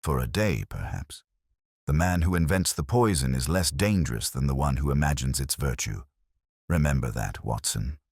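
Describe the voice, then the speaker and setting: A man voicing a grave, brooding, cerebral detective in a storybook reading, speaking in measured, solemn aphorisms. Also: rumbling low, darkish tone, breathy edge, no echo, studio quality, full character voice. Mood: grim, musing.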